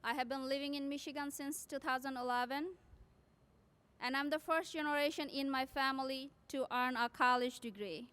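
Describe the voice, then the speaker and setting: A woman's voice: high-pitched, moderate pace, a bit bright. A woman from South Asia whose first language is not English, a graduating nursing student giving an earnest prepared commencement speech.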